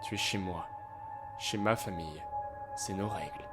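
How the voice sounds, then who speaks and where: A man's voice: deep voice, normal speed, lightly acted. Casting: man playing young crime-family brother, theatrical menace with a flippant streak, audio fiction, music underneath. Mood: cold menace. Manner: mocking, theatrical threats. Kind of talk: French audio drama acting.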